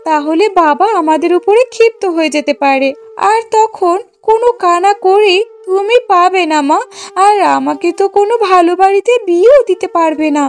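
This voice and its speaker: A spoiled young woman in a cartoon family drama, voiced by an actress. Her voice sits very high, with a plaintive whine. She wheedles like a pampered daughter. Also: normal speed. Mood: sulky, wheedling. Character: spoiled, whiny young daughter of marriageable age. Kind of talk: Bengali moral-story cartoon dubbing.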